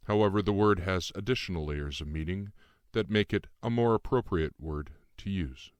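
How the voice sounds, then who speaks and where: Man voicing Lucien, deep, composed otherworldly being. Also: moderate pace, dry recording, natural voice. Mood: detached, explanatory. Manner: formal, precise, composed, didactic. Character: Lucien, calm calculating otherworldly being in young man's form. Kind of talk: audiobook dialogue.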